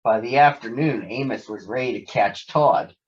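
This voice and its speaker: A man's voice: mid-range voice, moderate pace, muffled recording. A man narrating a children's story from a script as a storybook reader.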